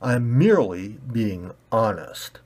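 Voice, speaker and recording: A man's voice: fairly low pitch, big pitch swings, slow, a little mellow, exaggerated voice. A man voicing a smug, rude, self-righteous storybook red ball, with a condescending, emphatic delivery.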